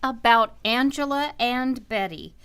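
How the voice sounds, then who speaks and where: A woman's voice: on the high side, measured pace, fairly bright tone, clear, resonant tone. A woman playing an exasperated, nagging wife and mother in an old-time radio comedy, scolding as she goes.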